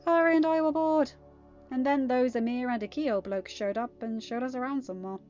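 A woman's voice: sits high, normal speed, a little mellow, natural voice. A woman reading a story, voicing a cheeky, unrepentant teenage girl in a flippant, teasing manner. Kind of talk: amateur story reading with dialogue. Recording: dull lo-fi audio, music behind.

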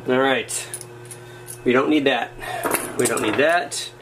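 A man's voice: medium pitch, slow pace, background noise. Chatty man muttering through a workshop build. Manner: chatty, muttering to himself as he works, self-mocking asides. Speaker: hobbyist showing a workshop project. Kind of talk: casual how-to narration while working. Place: indoors at a wooden workbench or floor. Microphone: camera framed on his hands over the work.

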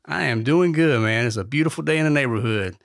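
A man's voice: mid-range voice, fast, creaky, no echo. A man hosting a podcast, introducing the show and chatting casually with his guest. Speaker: podcast host.

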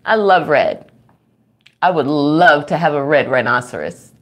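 A middle-aged woman reading a children's book aloud at home, expressive and playful, with chatty asides to young listeners. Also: sits low. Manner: expressive, playful, drawn-out reading, frequent chuckles and gasps. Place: small room at home.

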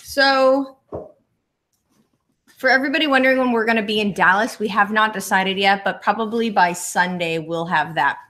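A woman's voice: mid-range voice, normal speed, pushed and bright. A young woman co-hosting a casual livestream from a living room couch, chattily reading viewer questions off her phone. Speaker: reseller livestream co-host. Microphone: fixed camera across the room.

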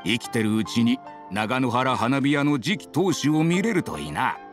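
A man's voice: medium pitch, very animated, moderate pace, soft background music, natural voice. A man playing a genial, hospitable elderly husband in an anime game. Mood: grateful.

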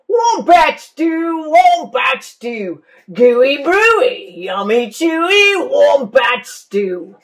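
A woman's voice: high voice, big pitch swings, very slow, hardly any pauses, pitched above the performer's own voice, exaggerated voice. A woman voicing a sly, boastful male dingo who chants and sings over his stew in a storybook reading. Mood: sing-song. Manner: sing-song chanting, gleeful.

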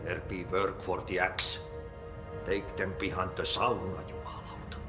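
An actor playing an eccentric older Finnish janitor in a video game, with folksy, cryptic talk and a Finnish accent. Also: male voice, deep voice, little pitch movement, a little slow, roomy sound, music in the background. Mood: welcoming, cryptic. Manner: folksy idioms, muttered Finnish curses. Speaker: janitor character in a supernatural action game. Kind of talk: video game cutscene acting.

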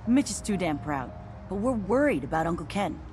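A woman's voice: lowish voice, sing-song, moderate pace, darkish tone, soft background music. An actress playing an earnest, worried young townswoman in a video game, talking in a pressing way. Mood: worried pleading. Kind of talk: video game character acting.

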